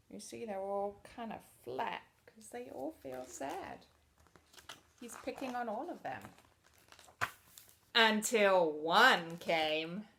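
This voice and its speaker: A woman teacher reading a storybook aloud to children expressively, pausing to explain things in simple words. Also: mid-range voice, creaky, fairly bright tone.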